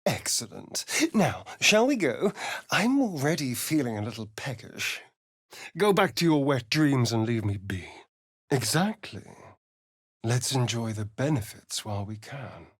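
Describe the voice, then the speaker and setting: An actor playing a sardonic, vain vampire spawn rogue in a video game, with a theatrical, drawling British delivery. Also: male voice, medium pitch, sing-song, unhurried, husky, dry recording, clean studio sound. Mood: arch, amused. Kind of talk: video game character voice lines.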